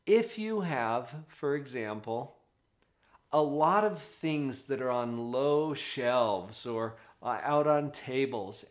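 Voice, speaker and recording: A man giving parenting advice straight to camera in an office, with a conversational, emphatic and animated delivery. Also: mid-range voice. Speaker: parenting coach.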